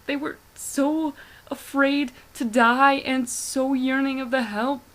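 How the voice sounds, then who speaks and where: A woman playing a haunted, horrified witness who tells a gothic horror tale in a grave, breathy manner. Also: high voice, fairly even intonation, takes it slowly, fairly bright tone, poor audio. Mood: haunted sorrow. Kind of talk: hushed gothic horror monologue.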